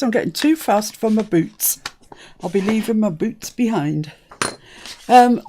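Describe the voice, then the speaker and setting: A woman's voice: mid-range voice, warm tone, a little hiss or hum. A woman born in Scotland hosting a live crafting stream, chatting casually and laughing often. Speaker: crafting channel host. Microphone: live-stream setup.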